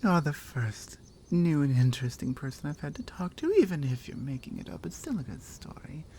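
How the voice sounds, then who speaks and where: Woman acting a low, mellow Nordic warrior woman. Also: very animated, husky, exaggerated voice. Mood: amused, skeptical. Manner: playful, dry, conversational with pauses. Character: strong, teasing, skeptical female Nordic warrior. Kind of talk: ASMR roleplay audio drama.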